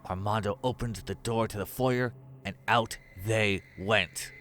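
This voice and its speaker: A man's voice: lowish voice, fairly even intonation, measured pace, a bit bright, slight background noise. A man narrating a scripted horror story as its storyteller.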